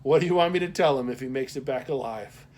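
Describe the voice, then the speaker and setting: A man's voice: mid-range voice, fast, darkish tone, voice pushed up, lightly acted. A man voicing a matronly small-town postmaster woman in an audiobook, folksy, gossipy and teasing.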